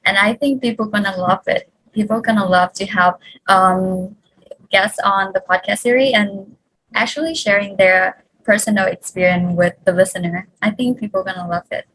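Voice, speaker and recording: A woman hosts her podcast in a friendly, casual chat. Her voice sits at a middle pitch, and she often pauses with small fillers. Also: steady tone, fairly bright tone, breathy edge, poor audio. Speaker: podcast host.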